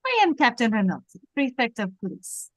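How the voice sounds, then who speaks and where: A woman playing a suave, flattering French police captain in an amateur script read-through, with a French-accented voice and a courtly manner. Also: a little high, normal speed, no room sound.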